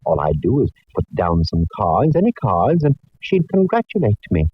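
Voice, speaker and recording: An actor playing a fussy, indolent gentleman in a radio comedy-mystery, speaking in affected, florid endearments. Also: lowish voice, wildly swooping pitch, normal speed, breathy edge, heavily acted. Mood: chatty, amused. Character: fussy, arch, indolent gentleman companion to a lady sleuth. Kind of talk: 1940s radio acting. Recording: muffled 1940s radio recording.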